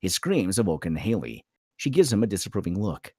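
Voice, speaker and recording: Deep, husky, animated male narrator. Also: brisk, no echo. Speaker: stage-direction narrator. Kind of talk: dramatic screenplay narration at a table read.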